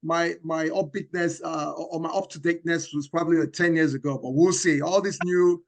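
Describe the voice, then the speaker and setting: High-voiced man stammering through guesses. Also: steady tone, brisk, vocal fry. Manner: stammering, breaks into song. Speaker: event organizer, guest. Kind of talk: casual chat, game guest.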